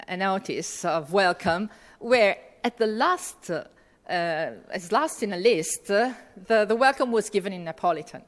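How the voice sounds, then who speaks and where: A woman giving a keynote lecture and answering questions, with many fillers and restarts. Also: mid-range voice. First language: Italian. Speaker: keynote speaker. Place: conference hall. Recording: long reverb of a lecture hall.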